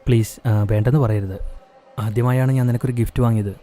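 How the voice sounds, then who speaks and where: A gentle young husband, played by a man in a cartoon story. He talks in a mellow, coaxing voice at an everyday middle pitch. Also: fairly even intonation, a little fast, soft background music, lightly acted. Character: kind young husband, warm and insistent.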